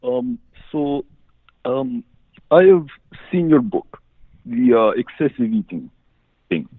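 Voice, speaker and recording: A man from Czechoslovakia, speaking haltingly as a caller to a talk show. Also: medium pitch, very animated, husky, no echo. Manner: halting, many pauses. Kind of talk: hesitant phone call-in chat. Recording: muffled, band-limited phone call.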